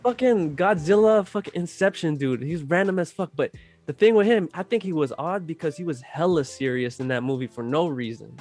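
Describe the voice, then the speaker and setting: A man's voice: on the high side, fairly quick, a little mellow. A man chatting casually in loose slang, swearing freely as he gives his opinions. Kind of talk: casual chat about video game movies. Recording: music playing behind the voice. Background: music.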